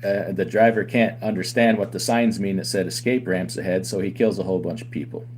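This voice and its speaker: A very low-voiced man makes his case forcefully, firing off rhetorical questions in an argumentative commentary. He runs a trucking company and talks straight to his viewers. A faint hum sits behind him. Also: steady tone, a little fast. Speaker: owner of a trucking company and channel host. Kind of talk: opinionated commentary to camera.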